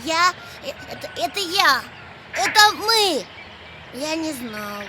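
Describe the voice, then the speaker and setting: A woman's voice: sits high, sing-song, slow pace, very noisy, exaggerated voice. A woman voicing a playful, naive little boy kitten in a children's cartoon, childlike and eager. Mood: sheepish. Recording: loud music behind the voice.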